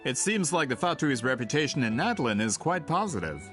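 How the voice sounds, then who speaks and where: An actor playing a polite, eager book merchant with a theatrical, flattering manner in a video game. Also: male voice, medium pitch, a little fast. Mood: apologetic, polite. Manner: courteous, theatrical, salesmanlike. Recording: game music behind the voice.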